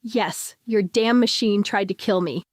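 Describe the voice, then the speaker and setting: A woman's voice: medium pitch, measured pace, dry recording, studio quality, lightly acted. A woman voicing a gritty, fed-up female farmer in a story, with a blunt, terse way of talking. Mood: angry. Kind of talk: audiobook character voice.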